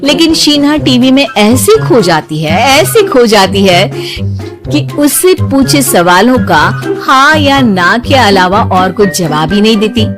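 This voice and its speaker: A woman's voice: on the high side, very animated, slow pace. Woman narrating a cartoon story over music.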